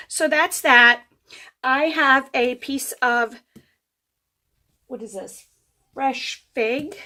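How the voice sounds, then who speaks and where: Casting: woman craft demonstrator, slightly higher voice, unhurried, voice going from a cold, chatty how-to delivery. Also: sharp tone. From United States. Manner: chatty, friendly step-by-step instruction. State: losing her voice. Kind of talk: craft tutorial. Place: indoor craft table.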